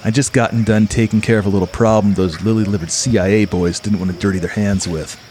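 An actor plays a macho, hard-boiled male mercenary telling his story in clipped pulp slang. His voice sits fairly low, with the swagger of a radio-play tough guy. A light bed of hiss and insects runs behind it. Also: steady tone, quick pace, darkish tone, natural voice. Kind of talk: pulp radio-play first-person narration.

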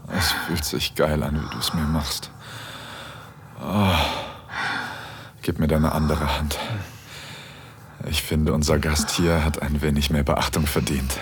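An actor playing an assured, tender husband who gently takes charge in an intimate erotic audio drama, coaxing and commanding. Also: male voice, very deep voice, flat delivery, takes it slowly, pauses often, a little hiss or hum, heavily acted. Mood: aroused, commanding.

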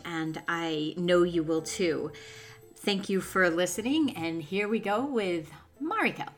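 A woman hosts a podcast in an informal, conversational style. Her voice sits at a middle pitch for a woman, and she talks in a chatty way, as if directly to listeners and guests. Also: fairly bright tone. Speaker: podcast host. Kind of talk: conversational podcast introduction and interview.

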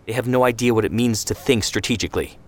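A man's voice: medium pitch, normal speed, voice pushed up, natural voice. A man voicing an idealistic young man who argues with rising outrage. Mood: heated. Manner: outraged, pleading.

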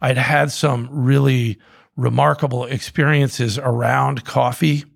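A man's voice: mid-range voice, fairly even intonation, slow, gravelly, no room sound, clean studio sound. A middle-aged man telling his story in a casual, rambling way, full of restarts and fillers. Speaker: guest, coffee company co-founder.

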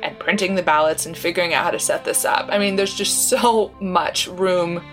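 Lowish-voiced woman political reporter. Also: fairly even intonation, moderate pace, music in the background, rough recording. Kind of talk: interview answers.

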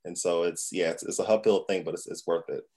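A man speaking as a guest in a reflective, halting way, with many fillers. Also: sits low, steady tone, dark tone. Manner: halting, filler-laden, laughs lightly. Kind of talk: reflective conversation.

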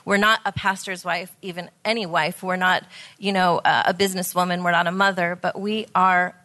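A woman's voice: on the low side, flat delivery, normal speed, sharp tone. A woman speaking earnestly and conversationally as a panel guest, explaining her work as a program leader.

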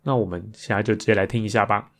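A man's voice: fairly low pitch, fairly even intonation, fast talker. A man with a Taiwanese Mandarin accent, talking casually as a solo podcast host.

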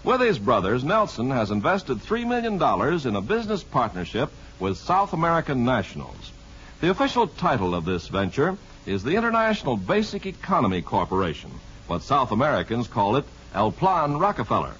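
A man's voice: mid-range voice, sing-song, brisk, a bit bright, husky. A man reading scripted narration as a 1950s radio announcer, formal and emphatic. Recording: dull 1950s radio recording.

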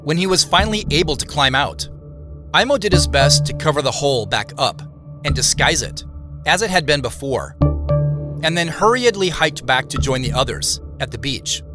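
A man acting as a storyteller in an audio drama. His voice is on the higher side, telling a mysterious tale in measured narration over prominent music. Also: animated, lightly acted. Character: storyteller recounting clues and an eerie boyhood tale.

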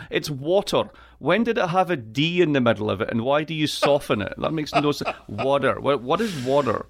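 A man with an east coast Scottish accent, a guest on an interview show, talking in a wry, joking way with quick asides. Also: medium pitch. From Scotland. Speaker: guest, economist. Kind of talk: lively podcast interview.

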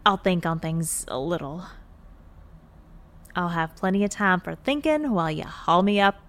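A woman's voice: lowish voice, animated, normal speed, a bit bright. A woman playing a stubborn, teasing moonbase worker in a naturalistic audio drama, colloquial and feisty. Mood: stubborn, resigned. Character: wisecracking, stubborn, gruffly fond injured female moonbase worker.

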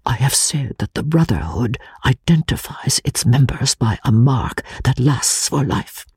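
A man playing an anguished, honour-bound foreign gentleman who makes a fearful confession in a hushed, pleading voice, in a dramatic audiobook reading. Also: medium pitch, expressive, measured pace, breathy edge, no echo, in a whisper, studio quality, heavily acted. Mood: hushed, grave. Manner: hushed, emphatic, solemn pleading.

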